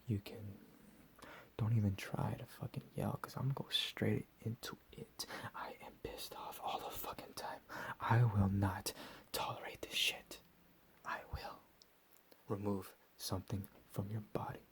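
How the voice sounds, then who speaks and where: A man playing a deranged, menacing captor in a whispered ASMR roleplay, profane and slow with drawn-out emphasis. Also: fairly low pitch, fairly even intonation, vocal fry, a bit bright. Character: deranged menacing male captor, recently escaped asylum.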